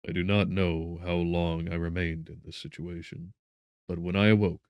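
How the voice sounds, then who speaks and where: A man narrating a gothic novel as a scripted audiobook, with measured, dramatic phrasing. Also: low-pitched, flat delivery, darkish tone, smooth, dry recording.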